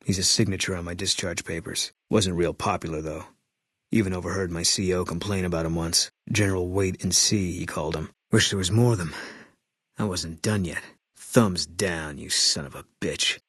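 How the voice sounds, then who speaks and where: A haunted ex-sniper companion in a video game, who talks in short, guarded sentences. His voice is very low and husky, recorded dry and close with no room around it. His delivery is flat and curt, like a soldier who would rather not talk. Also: no room sound. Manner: terse, clipped, flat and guarded. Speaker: companion character. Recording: dry studio sound.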